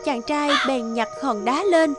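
A woman's voice: high-pitched, a little slow. A woman narrating a scripted children's folk tale as a storyteller. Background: music behind the voice.

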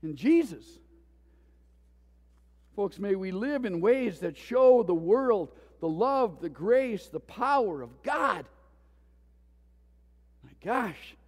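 A man preaching in a church, his voice sitting high for a man. He talks slowly and with feeling, moving from loud pleas to near-whispers. The sound rings with the long reverb of a big hall. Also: lively intonation. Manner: impassioned, swinging from loud pleas to hushed tones. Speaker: pastor. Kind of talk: sermon. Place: church sanctuary.

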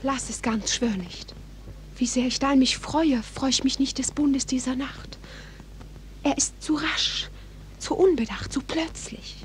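A woman playing an ardent, anxious girl in love in a 1940s radio play, speaking classical verse in a breathy, hushed declamation. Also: high voice, a little slow, in a whisper. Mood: shy, earnest. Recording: hissy 1940s radio recording.

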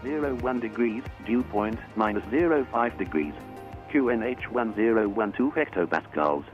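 A man's voice: on the low side, lively intonation, moderate pace, faint music behind. Male aviation broadcast reader, muffled radio sound, formal procedural delivery. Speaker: airport information broadcaster. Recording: band-limited aviation radio, faint background.

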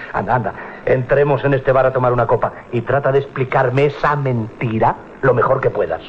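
Sceptical, gruff seaman male actor, mid-pitched and mocking; muffled vintage radio play. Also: fairly even intonation, moderate pace, slight background noise, close to an everyday voice. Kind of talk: 1980s radio drama acting. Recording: dull old radio recording.

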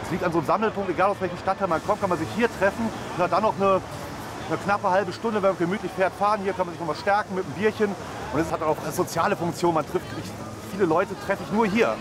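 A man's voice: sits high, fast, background noise. A man, a football fan, talking casually in an interview outdoors, rambling with restarts. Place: outdoors by a kiosk.

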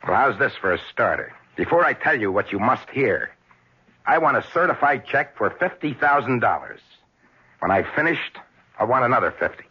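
An American actor in a 1970s radio drama playing a cool, calculating schemer with a husky voice. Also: male voice, mid-range voice, big pitch swings, moderate pace, full character voice. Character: cool, calculating former business partner, male, turned schemer. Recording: dull vintage radio recording.

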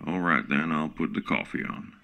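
A folksy, boastful smart-speaker cowboy. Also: male voice, extremely low pitch, normal speed, gravelly, sharp tone, exaggerated voice. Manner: folksy drawl, keeps boasting his own titles. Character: folksy country-singer smart speaker, male, middle-aged, self-promoting. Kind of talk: comic radio play.

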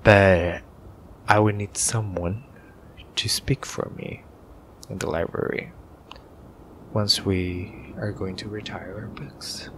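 A man's voice: on the low side, slow pace, long pauses, slight background noise. A man playing a grumpy, shy young incubus student in an ASMR roleplay, grudging and hesitant, sometimes half-whispering. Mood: reluctant, grudging. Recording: steady rain ambience behind voice.

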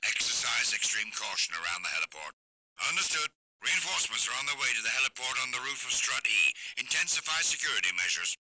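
A man's voice: medium pitch, very animated, fast, noisy background. An actor playing a stern male military command officer in a video game, giving clipped, urgent orders over a radio. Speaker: military dispatcher.

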